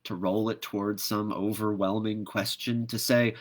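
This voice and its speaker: A man reading poetry aloud in an expressive, theatrical manner, with measured phrasing and dramatic pauses. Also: lowish voice. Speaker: poetry reader.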